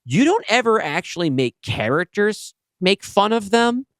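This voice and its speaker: A man talking casually and bluntly as a podcast host, with loose swearing and an animated discussion style. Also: high voice, normal speed, no room sound, studio quality.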